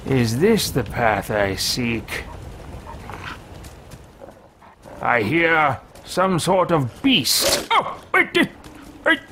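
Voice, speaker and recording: A man's voice: mid-range voice, sing-song, slow pace, bright, forceful tone, slight background noise, pitched below the performer's own voice, exaggerated voice. A man in his thirties voicing a pompous, scheming mage who mutters grandly to himself, improvising fantasy video game role-play. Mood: menacing, gloating. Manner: theatrical, grandiose, muttering with hesitations. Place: small indoor room with shelves.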